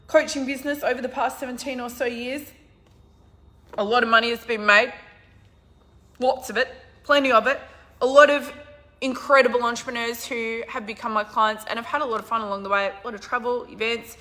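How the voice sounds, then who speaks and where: A middle-aged woman business coach with a hoarse, husky, croaky voice, talking casually and emphatically to a live audience. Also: fairly high pitch. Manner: enthusiastic, emphatic, swings from loud to quiet. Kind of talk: casual livestream talk.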